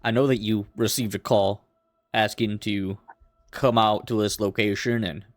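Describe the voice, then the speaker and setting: A man playing a tabletop roleplaying game, improvising his character's lines in a measured, deliberate way, in short phrases. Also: on the low side, fairly even intonation, no room sound, clean studio sound.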